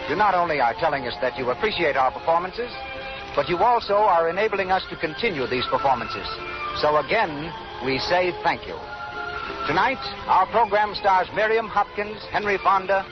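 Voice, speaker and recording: A man announcing a 1930s radio show from a script, in a formal, polished sales delivery. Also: a little high. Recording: muffled old 1930s radio broadcast.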